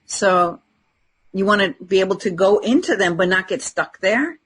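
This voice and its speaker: A woman's voice: medium pitch, expressive, normal speed, husky, poor audio. A woman co-hosting a podcast, explaining things conversationally and encouragingly, often checking for agreement. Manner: encouraging, often seeks agreement with tag questions. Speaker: podcast co-host, spiritual energy coach.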